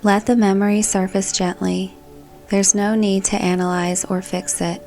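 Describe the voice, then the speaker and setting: A woman narrating a scripted guided meditation in a calm, gentle, soothing manner. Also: medium pitch, moderate pace. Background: background music.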